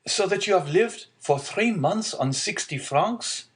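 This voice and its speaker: A man's voice: high-pitched, expressive, unhurried, pitched above the performer's own voice. A man voicing an eager, devoted young sailor in an audiobook story, speaking in an earnest, urgent way. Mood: concerned, distressed.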